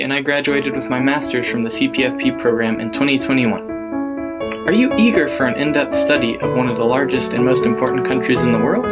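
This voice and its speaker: A young adult graduate delivering a scripted promotional testimonial. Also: medium pitch.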